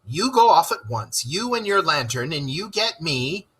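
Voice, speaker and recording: A man's voice: a little high, very animated, unhurried, a bit bright, husky, voice pushed up, exaggerated voice. A man voicing a hearty, masterful rat who bosses everyone genially in a storybook read-aloud. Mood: brisk, commanding.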